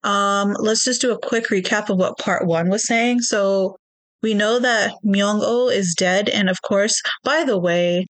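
A woman's voice: medium pitch, measured pace, no room sound. A woman co-hosting a podcast, casually recapping a TV show with lots of ums and hedges. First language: not Korean. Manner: recaps plot in a chatty, hedging way with many ums. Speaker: podcast co-host.

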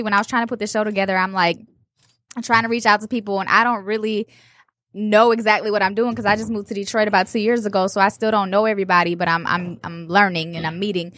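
A woman hosting a casual interview podcast, upbeat and chatty, firing off quick follow-up questions. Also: mid-range voice, fairly bright tone, dry recording. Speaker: podcast host.

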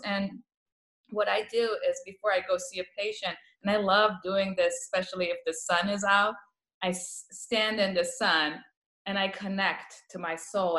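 A woman's voice: lowish voice, fairly bright tone, reverberant, low-quality recording. A woman from Iran with a Persian accent, speaking as a palliative care guest and telling stories with laughs. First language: Farsi. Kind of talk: casual interview talk.

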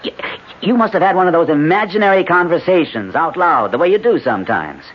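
A skeptical family father in a 1970s radio play. His pitch swings widely and his manner is firm, dismissive and exasperated. The recording is muffled. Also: very animated, fairly quick, slightly rough. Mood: skeptical, accusing. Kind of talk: 1970s radio drama acting.

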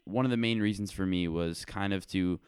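A teenage boy with a low, smooth voice explains his podcast's background in measured, hedging sentences. The sound is dry and close. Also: darkish tone, no room sound. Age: teens. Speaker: podcast co-host. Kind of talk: casual explanation.

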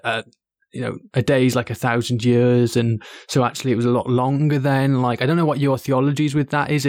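A man with a Welsh accent unpacks a Bible passage in relaxed, earnest podcast chat. His voice sits at a middle pitch and sounds dry, with little room around it. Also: no room sound. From Wales. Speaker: podcast co-host. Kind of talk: casual teaching chat.

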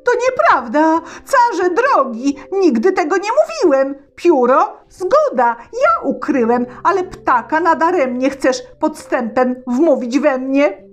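A woman voicing a frightened young peasant man who begs and argues in a high, strained voice. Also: sing-song, slow, few pauses, soft background music, exaggerated voice. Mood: pleading. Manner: high, pleading, tearful.

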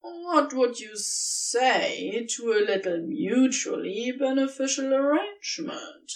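A woman voicing an eager, affectionate serpent creature with a rough-edged colloquial drawl in a storybook reading. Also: sits high, lively intonation, takes it slowly, far from the mic, exaggerated voice, low-quality recording.

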